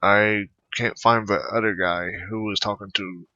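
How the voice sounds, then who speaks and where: Halting man with a low, bright voice. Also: fairly even intonation, no room sound. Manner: halting, rambling. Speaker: gamer recording a playthrough. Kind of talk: casual game commentary. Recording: dull, close dry microphone.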